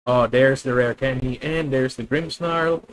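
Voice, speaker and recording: A young man with a Filipino accent streaming a card game, thinking out loud about his moves, correcting himself and talking to his viewers. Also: mid-range voice, measured pace, poor audio. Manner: thinking aloud, self-correcting, addresses viewers. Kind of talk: live gameplay commentary.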